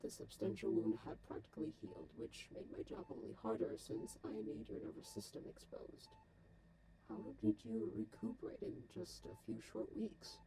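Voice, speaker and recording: A woman's voice: very deep voice, big pitch swings, moderate pace, creaky, crowd noise, heavily acted, low-quality recording. A woman voicing a male artificial intelligence modelled on a scientist, dry and detached, with formal, precise, wordy phrasing in a scripted comic dub. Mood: dry, clinical. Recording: music behind the voice.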